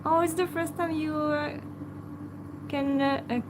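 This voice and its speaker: A woman with a French accent, a native French speaker, hosting a casual livestream and answering viewers in a hesitant way full of fillers, sometimes talking softly to her pet. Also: sits high, fairly even intonation, measured pace, noisy background.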